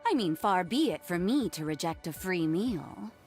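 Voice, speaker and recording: A woman's voice: on the low side, animated, moderate pace, over loud music. An actress playing a haughty, playful and predatory noblewoman in a video game, teasing in an elegant, theatrical way. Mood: teasing, amused. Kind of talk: video game cutscene acting.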